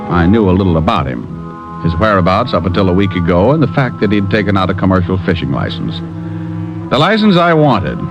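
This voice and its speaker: A breezy private detective with a fairly low, very animated voice. He is glib and wry, in vintage radio crime drama style. The recording is a dull old broadcast with faint music. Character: wisecracking private detective, breezy, easily flustered by flirting. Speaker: lead actor and first-person narrator. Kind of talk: 1940s-50s radio detective acting and narration.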